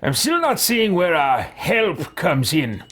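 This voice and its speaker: A man's voice: fairly high pitch, wildly swooping pitch, slow pace, a bit bright, a little hiss or hum, heavily acted. A man voicing a brash, grumbling adventurer hero for a fantasy game, declaiming theatrically. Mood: suspicious, impatient.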